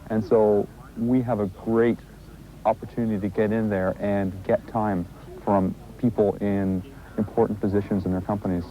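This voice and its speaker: A man's voice: lowish voice, little pitch movement, moderate pace. A young man in his twenties, a salesman, answering casually and upbeat. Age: 20s. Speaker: young entrepreneur. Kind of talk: interview answers. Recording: slightly dull broadcast audio with faint noise.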